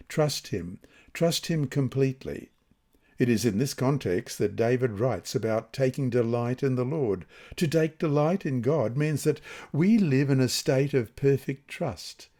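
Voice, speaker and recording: Aussie guy reading a bible lesson, mellow warm tone, really varied pitch, deliberate phrasing. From Australia. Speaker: reader of devotional Bible lessons. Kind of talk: scripted devotional narration and scripture reading.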